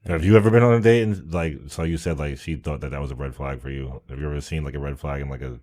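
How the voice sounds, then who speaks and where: A man's voice: very deep voice, quick pace, darkish tone. A man hosting a podcast, chatting casually with slang and swearing. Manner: slangy, profane. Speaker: podcast host. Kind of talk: casual chat.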